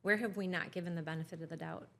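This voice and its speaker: A woman's voice: on the low side, fairly even intonation, rapid-fire, gravelly, a bit bright. A woman hosting a podcast interview at a wine bar, encouraging her guest with frequent short affirmations. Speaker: podcast host. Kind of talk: casual interview.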